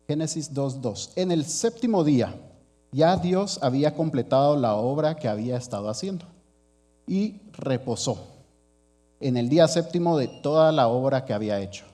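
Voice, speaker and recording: Warm-voiced man preaching a sermon. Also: fairly high pitch. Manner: earnest, pastoral, addressing the congregation as brothers.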